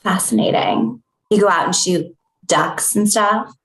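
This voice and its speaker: A woman's voice: medium pitch, unhurried, slight background noise, close to an everyday voice. Echoey actress as flirty, inquisitive researcher woman. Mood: curious, flirty. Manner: playful, questioning. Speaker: actor in a screenplay table read. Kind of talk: naturalistic comedy table read. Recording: echoey room sound.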